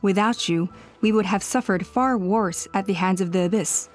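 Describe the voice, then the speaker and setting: Woman voicing gracious tribe elder in a game, formal and measured, mid-pitched, soft music behind. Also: natural voice. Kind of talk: anime-style video game voice acting.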